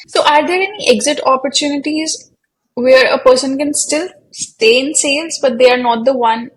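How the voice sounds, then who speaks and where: A woman's voice: a little high, rough recording. Indian-accented woman hosting an interview. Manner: deliberate, framing long questions with "so, um". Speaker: host, career coach interviewer. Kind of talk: interview questions.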